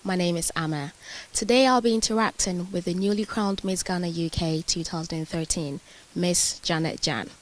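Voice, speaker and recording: A woman's voice: lowish voice, moderate pace, low-quality recording. A woman hosting a magazine interview in a prepared, formally worded way. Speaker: interview host.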